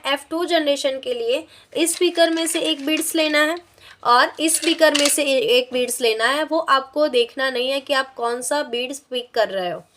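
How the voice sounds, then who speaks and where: A woman with a high-pitched voice teaches a practical science lesson step by step. She speaks instructionally, repeating points for her students. The recording carries some faint background noise. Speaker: biology teacher.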